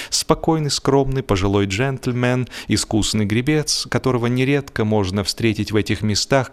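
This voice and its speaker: Male narrator with measured, theatrical delivery. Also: lowish voice. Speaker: audiobook narrator. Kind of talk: expressive scripted narration of a humorous literary story.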